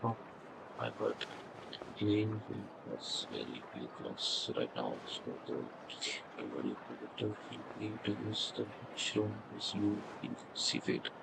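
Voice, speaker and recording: Casting: male game streamer, slow halting read-aloud, fry in the voice, noisy setup. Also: lowish voice, sing-song. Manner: halting, mumbled reading.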